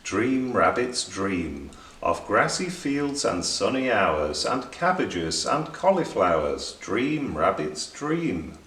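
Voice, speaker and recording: A man voicing a dreamy, earnest storybook rabbit who recites verse in a deliberate singsong. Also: fairly low pitch, sing-song, a bit bright, full character voice. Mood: soothing. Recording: roomy echo, distant microphone.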